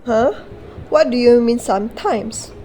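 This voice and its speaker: A woman playing a supportive, sympathetic best friend in an amateur radio drama, gentle and consoling. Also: fairly high pitch, unhurried, a little hiss or hum, lightly acted. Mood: attentive.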